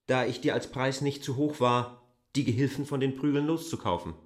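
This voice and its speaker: A man's voice: medium pitch, steady tone, fairly quick, lightly acted. An actor playing an insistent, argumentative lover in a literary radio drama, making his points emphatically. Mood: accusing.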